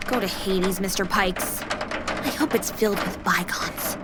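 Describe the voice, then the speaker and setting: A woman's voice: mid-range voice, unhurried, heavy background noise, natural voice. A woman playing a teasing, sassy young female AI companion in a video game, with a playful, mock-formal manner. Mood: miffed.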